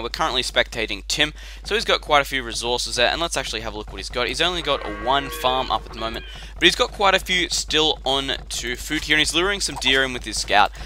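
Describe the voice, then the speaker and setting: A young man giving a chatty, rambling commentary on a game replay, his voice croaky and tired after a late night. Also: medium pitch, bright, forceful tone. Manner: chatty and rambling, with filler words.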